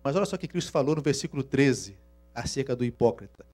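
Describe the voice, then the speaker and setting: A man from Rio with a Minas Gerais accent, preaching a sermon, swinging from hushed asides to loud, drawn-out emphasis. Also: medium pitch, lively intonation, slightly rough, soft background music. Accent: Minas Gerais Portuguese. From Rio de Janeiro, Brazil. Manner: shifts from hushed asides to loud, slow emphatic scripture reading. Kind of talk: sermon to a congregation.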